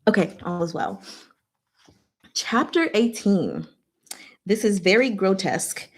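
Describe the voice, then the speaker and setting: A woman's voice: mid-range voice, wildly swooping pitch, slow. Playful woman in casual, chatty recap. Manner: playful, slangy, acts out characters' lines in casual speech. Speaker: host of a book discussion.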